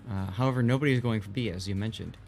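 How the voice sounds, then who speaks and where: A man's voice: fairly low pitch, rapid-fire, creaky, a little mellow. A man commentating live on a video game tournament in a casual, explanatory way. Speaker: esports commentator. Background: music.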